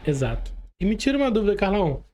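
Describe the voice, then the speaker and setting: A man's voice: sits high, very animated, fast, dark tone. A Brazilian man hosting a casual interview in a colloquial way, dropping in frequent check-in tags. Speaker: podcast host.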